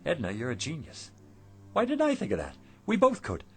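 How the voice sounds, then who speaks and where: A man playing an excitable, generous husband who runs a poor antique shop, in a radio drama. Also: a little high, fairly quick, slightly rough, soft background music, full character voice. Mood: eager, coaxing.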